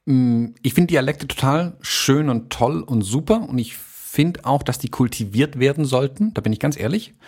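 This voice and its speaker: A man's voice: medium pitch, moderate pace, few pauses, darkish tone, breathy edge. A man from Swabia with a light Swabian accent, chatting casually as a podcast co-host, laughing often and poking fun at himself. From Swabia, southern Germany.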